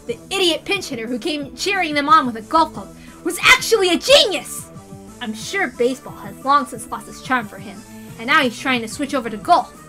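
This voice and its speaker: A woman's voice: high-pitched, bright, forceful tone, full character voice. A woman voicing astonished, overdramatic male sports reporters in a story reading, with pompous, breathless hype.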